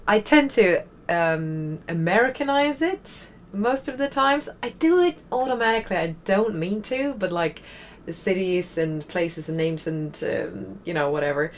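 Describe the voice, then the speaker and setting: A woman's voice: fairly low pitch, lively intonation, a little slow, a little hiss or hum. A young woman with a Swedish accent, casually commenting while playing a game, chatty and rambling with wry asides. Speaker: let's play host.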